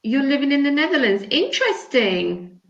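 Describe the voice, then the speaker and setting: A woman's voice: high-pitched, big pitch swings, moderate pace, a crowd in the background. A middle-aged woman from England with an English accent, chatting casually on a video call as a conversation partner. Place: home in Turkey. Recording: echoey room, dull lo-fi sound.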